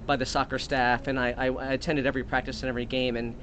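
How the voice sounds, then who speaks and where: A man's voice: medium pitch, little pitch movement, fast talker, fairly bright tone, slight background noise. Rambling mock-earnest man spinning a tall tale. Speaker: comedic storyteller. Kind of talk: improvised comic monologue.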